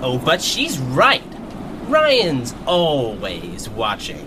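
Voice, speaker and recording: A man's voice: medium pitch, huge pitch swings, drawn-out words, background noise, exaggerated voice. A man playing a smug, gloating armed henchman in an audio drama, taunting with rhetorical questions.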